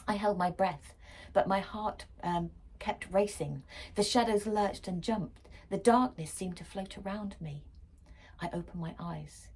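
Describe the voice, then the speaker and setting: A woman reading a children's story expressively and dramatically, shifting between hushed and emphatic delivery. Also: medium pitch, a little slow, slightly rough. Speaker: story reader.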